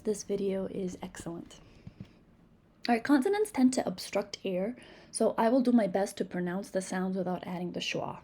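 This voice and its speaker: A woman's voice: medium pitch, gravelly, mellow, breathy edge. New England woman teaching phonics. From Massachusetts. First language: Spanish. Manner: patient, encouraging, models sounds slowly. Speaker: reading teacher. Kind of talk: instructional video lesson.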